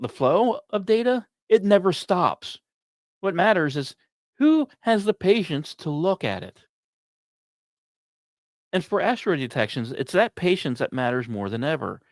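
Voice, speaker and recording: Male podcast host, medium pitch, scripted science storytelling, dramatic pauses, the odd casual aside, very dry recording. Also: no echo.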